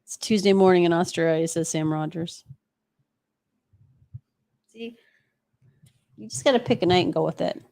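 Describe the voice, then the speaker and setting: A woman's voice: lowish voice, moderate pace, creaky, pauses often, darkish tone. A woman co-hosting a live stream, reading out viewer chat and bantering casually in a playful, teasing way.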